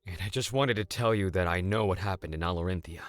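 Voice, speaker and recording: Man playing shrewd male game ally, very low pitch, knowing, assured, wry. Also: fast talker, no echo, lightly acted. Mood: amused. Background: game music.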